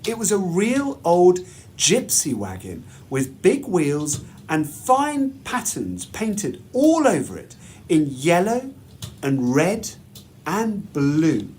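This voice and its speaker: Very animated man reading a bedtime story. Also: fairly high pitch, a little hiss or hum. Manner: measured, deliberate, storytelling delivery. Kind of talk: expressive children's storybook narration.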